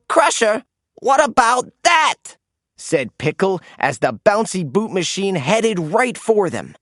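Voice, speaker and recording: Slow, very animated storybook narrator. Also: mid-range voice, dry recording, studio quality. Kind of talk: animated children's read-aloud narration.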